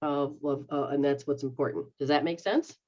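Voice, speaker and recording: A woman's voice: low-pitched, fairly even intonation, normal speed, warm tone, dull audio. A middle-aged woman, a metaphysical practitioner, explaining healing techniques in a rambling, casual way and checking that she is understood. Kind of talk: casual instructional chat.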